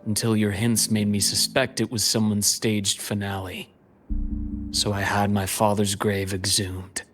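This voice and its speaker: An actor playing a grave, composed man with a bitter grudge in a video game, speaking in a measured, restrained way. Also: lowish voice, level tone, natural voice. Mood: somber. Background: game music.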